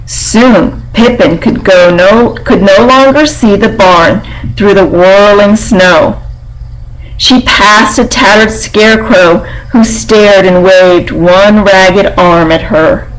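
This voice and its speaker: A woman reading a children's storybook aloud in a measured, expressive way. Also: mid-range voice, low-quality recording. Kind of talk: storybook read-aloud narration.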